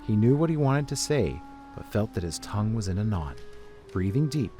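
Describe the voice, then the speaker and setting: A man's voice: on the low side, big pitch swings, moderate pace, mellow, faint music behind. A man reading scripted story narration in the third person as the narrator.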